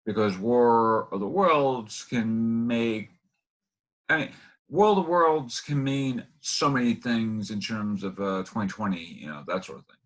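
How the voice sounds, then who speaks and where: A man hosting an interview, asking casual questions in halting, meandering phrases. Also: mid-range voice. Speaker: interview host.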